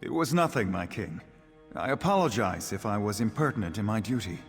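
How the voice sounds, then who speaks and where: An actor playing a stern, loyal guard captain in a fantasy game, speaking seriously and with guarded urgency. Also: male voice, lowish voice, animated, fairly quick, soft background music. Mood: humble. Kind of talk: video game voice acting.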